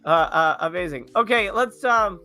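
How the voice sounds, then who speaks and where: A man with a high, bright voice hosts a casual movie panel. He rambles and halts as he goes, feeling his way through each thought. Faint music plays along behind him. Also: expressive. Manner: halting, rambling. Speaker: host of a movie review panel.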